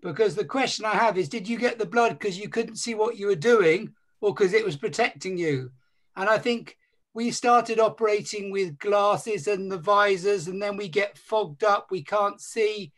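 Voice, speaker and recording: A middle-aged man on a video call from home, asking questions in a chatty, self-correcting way. His voice sits quite high for a man. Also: moderate pace, fairly bright tone. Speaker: surgeon panelist. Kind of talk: panel discussion over video call. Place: home room. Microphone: video call, computer mic.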